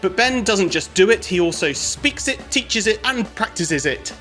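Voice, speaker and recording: A man with a British accent hosting a podcast, giving energetic, enthusiastic introductions. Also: sits high, normal speed, faint music behind. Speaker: podcast host.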